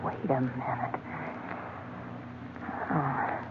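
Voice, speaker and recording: An actress playing a seductive, scheming woman in a 1940s radio drama, with a breathy, purring way of flirting. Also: a bass voice, steady tone, drawn-out words, noisy background, heavily acted. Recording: tinny 1940s radio broadcast.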